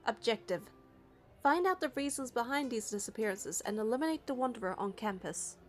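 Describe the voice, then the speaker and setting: A woman's voice: a little high, lively intonation, a little fast. A woman reading scripted story narration and dialogue aloud for a game playthrough. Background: background music.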